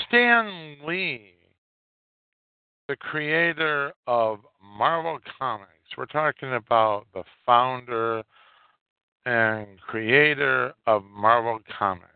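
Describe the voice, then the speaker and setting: A man hosting a solo podcast, giving opinionated commentary in halting, emphatic phrases with a lot of repetition. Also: mid-range voice, clean tone, no room sound. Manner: coarse, swearing. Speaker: podcast host. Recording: narrow phone-like line, dry.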